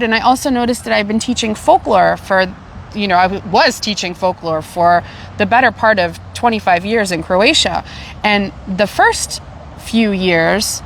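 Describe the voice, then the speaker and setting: Canadian woman in lively casual chat. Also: medium pitch, creaky, slight background noise. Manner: animated, repeats herself when searching for words. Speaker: podcast host.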